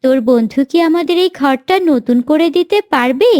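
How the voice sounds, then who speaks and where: Cartoon mother bird, played by a woman: gentle, concerned, motherly, fairly high voice, dry recording. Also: normal speed, no room sound, lightly acted. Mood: hopeful.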